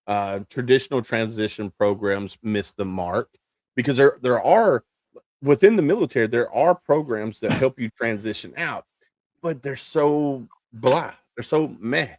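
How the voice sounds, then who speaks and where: A man's voice: medium pitch, unhurried, darkish tone, no room sound. A man hosting a podcast, upbeat and chatty, laying out the topics with many fillers. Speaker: podcast host. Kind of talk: casual hosting chat.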